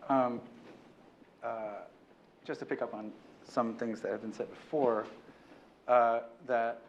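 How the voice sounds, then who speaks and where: A man speaking on an academic panel, thoughtful and hesitant, often pausing with fillers and tag questions. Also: medium pitch, fairly even intonation, dull audio, a little hiss or hum. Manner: hesitant, many fillers and "right?" tags. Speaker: panelist, former fellow.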